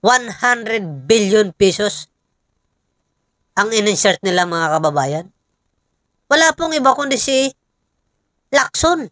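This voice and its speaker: A Filipino woman delivers informal political commentary at an unhurried pace. She pauses often and leans on rhetorical questions for emphasis. The sound is dry and close, with little room echo. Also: medium pitch, animated, fairly bright tone.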